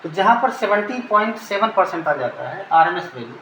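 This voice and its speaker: A man's voice: high-pitched, big pitch swings, fairly quick. A man with an Indian accent giving an informal technical lecture step by step, repeating and rephrasing as he explains. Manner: didactic, repeats and rephrases phrases. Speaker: teacher. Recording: echoey room with faint background noise.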